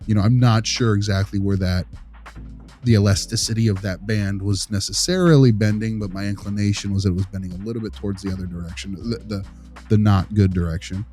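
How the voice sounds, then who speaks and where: A man talking through a case as a panelist, casually and with strong opinions, in an emphatic, animated way with rhetorical check-ins. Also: fairly low pitch, fairly quick, soft background music. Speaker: panelist discussing a behavioral case study.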